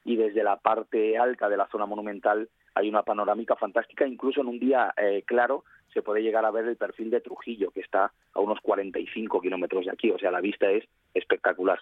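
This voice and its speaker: Husky man on a tinny phone line. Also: lowish voice, little pitch movement, fast talker, hardly any pauses, no echo. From Cáceres, Spain. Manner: detailed, explanatory, with frequent fillers. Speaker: tour guide. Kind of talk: interview guest over the phone. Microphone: telephone.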